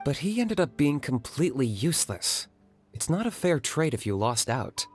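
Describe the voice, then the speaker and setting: A calm reserved warrior. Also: male voice, fairly high pitch, over music. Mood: earnest. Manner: calm, measured. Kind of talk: video game voice acting.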